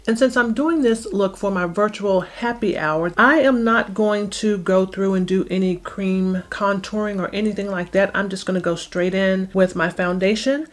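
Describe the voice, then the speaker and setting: A middle-aged woman casually talking viewers through a makeup tutorial step by step, in an explanatory way. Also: mid-range voice. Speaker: beauty vlogger.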